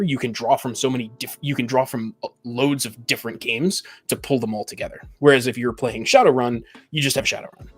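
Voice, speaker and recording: A man's voice: medium pitch, a bit bright. A man talking casually and explaining his views in a tabletop gaming discussion. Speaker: panel guest on a tabletop gaming podcast.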